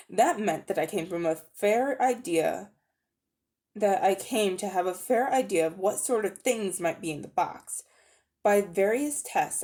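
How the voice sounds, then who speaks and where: A woman's voice: mid-range voice, a little mellow, natural voice. A woman voicing a vain, pompous old uncle who dabbles in magic, in a storybook reading. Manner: pompous, self-important, easily affronted.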